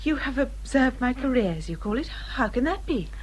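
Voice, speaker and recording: Polite, expressive young English gentlewoman. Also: high-pitched, normal speed, slight background noise, low-quality recording. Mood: friendly curiosity. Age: young adult. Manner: polite, earnest, restrained. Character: polite, self-possessed young gentlewoman, quietly firm. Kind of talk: period radio drama acting.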